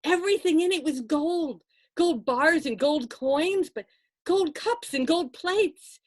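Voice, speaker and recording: High-voiced woman telling a folktale. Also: no echo, studio quality. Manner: expressive and conversational, with dramatic pauses and asides to listeners. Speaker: storyteller. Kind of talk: live oral folktale telling to listeners.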